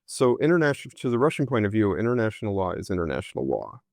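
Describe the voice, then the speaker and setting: Low-voiced man, halting answers. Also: big pitch swings, vocal fry, no echo, clean studio sound. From United States. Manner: hesitant, many fillers and restarts. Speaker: historian guest. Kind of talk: conversational interview answers.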